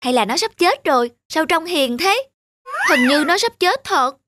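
A woman voicing wary, mean village boys in an animated fairy tale, excitable and childlike. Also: sits high, moderate pace, no echo, studio quality, pitched above the performer's own voice, heavily acted. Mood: curious, mean. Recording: music under the voice.

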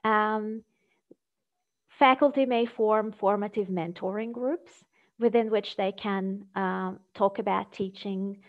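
A woman giving an academic presentation over a video call, speaking in a measured, hesitant way with halting phrasing. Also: mid-range voice, steady tone. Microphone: video call microphone. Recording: dull, lo-fi video call audio.

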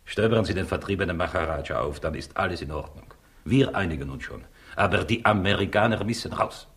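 A secretive conspirator in a 1960s radio thriller. His voice is very low and husky. He is curt and ominous, used to giving orders. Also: brisk, a bit bright, heavily acted. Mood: menacing. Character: menacing, commanding male conspirator, curt and secretive. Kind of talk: 1960s German radio crime play acting.

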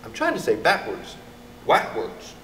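A middle-aged man reading a children's storybook aloud in an expressive, deliberate manner. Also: mid-range voice. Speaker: elementary school staff member reading to children. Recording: echoey, distant mic with background noise.

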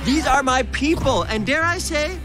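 A man's voice: extremely high pitch, lively intonation, normal speed. An actor voicing a cheerful, cocky young male alien hedgehog as a playful film voice-over. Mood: cheerful, proud. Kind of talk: animated-character film voice-over. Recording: film voice-over under loud music.

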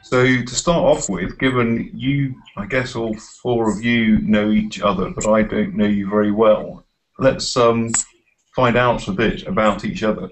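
A middle-aged man with a fairly low voice tutors informally over a video call. He speaks in a hesitant, encouraging way, as if to a small group of students. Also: fairly even intonation, unhurried, rough recording. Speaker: university course tutor. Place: small office, indoors.

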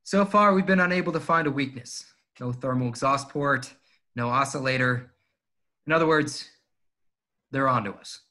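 A forceful, bright-voiced rebel commander, read by a man. He briefs his forces earnestly and briskly in a script read, sounding confident. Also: mid-range voice, lightly acted. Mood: resolute. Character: confident male resistance pilot-commander giving a briefing. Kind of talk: fan table read of a film script.

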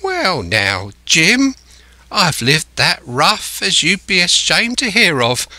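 A man's voice: high voice, huge pitch swings, takes it slowly, bright, forceful tone, no room sound, pitched above the performer's own voice, heavily acted. A man voicing a marooned, half-crazed old seafarer in a storybook, sly and childish, with rambling, wheedling rustic sailor speech. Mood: startled, sly, pleased.

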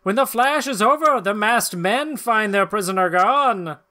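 A man's voice: high-pitched, lively intonation, unhurried, sharp tone. A man in his thirties hosting a podcast, giving an amused, theatrical reading of comic-book narration with casual banter. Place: small room at home, video call.

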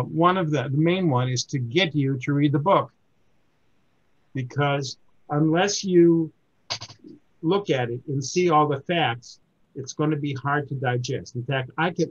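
A man in his seventies, born in Germany and raised in the United States, giving an informal talk to a community group in a halting way, with many restarts and fillers. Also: mid-range voice, dull audio. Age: 70s. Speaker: book reviewer giving a talk.

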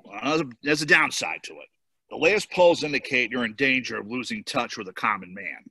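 Blunt cartoon political handler played by a man, very sharp, husky, animated. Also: medium pitch, brisk, no echo, heavily acted, poor audio. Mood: matter-of-fact. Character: brisk, blunt male political campaign advisor. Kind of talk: amateur cartoon table read. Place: home room, on a video call.

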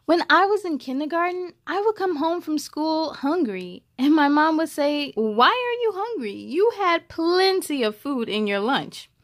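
A young American woman hosting a children's story time, reading aloud expressively with playful, animated delivery and friendly asides. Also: high-pitched, unhurried, hardly any pauses, dry recording. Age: young adult. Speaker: host of a children's read-aloud show.

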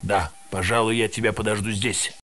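An actor voicing a tired male security guard in a research facility in a video game dub, with a gravelly, matter-of-fact delivery. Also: on the low side, fairly even intonation, normal speed, fairly bright tone, close to an everyday voice. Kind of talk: game voice lines, Russian dub.

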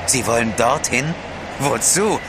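A man's voice: medium pitch, lively intonation, unhurried, close to an everyday voice. An actor playing a gloomy, dismissive man on a polar trek, blunt and pessimistic, in an audio drama. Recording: clear outdoor background noise.

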